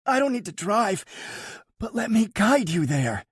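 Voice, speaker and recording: A man's voice: high-pitched, big pitch swings, measured pace, slightly rough, dry recording, clean studio sound, voice pushed up, heavily acted. A man voicing a guilt-ridden younger brother who pleads in a strained, choking voice for an audiobook. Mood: pleading.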